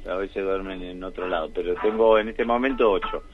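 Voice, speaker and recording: A man with an Argentine accent, a musician answering interview questions thoughtfully, with many pauses and fillers. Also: low voice, phone-quality sound. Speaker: musician, band member.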